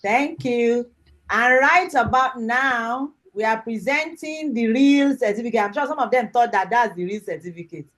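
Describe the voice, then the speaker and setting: A woman's voice: on the high side, moderate pace, a bit bright. A woman with a Nigerian accent casually co-hosting an online ceremony over a video call. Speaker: co-host of an online graduation.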